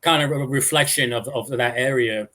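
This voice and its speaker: A man's voice: mid-range voice, moderate pace, bright, forceful tone. A man speaking as a guest in a casual live interview, laid-back and easygoing.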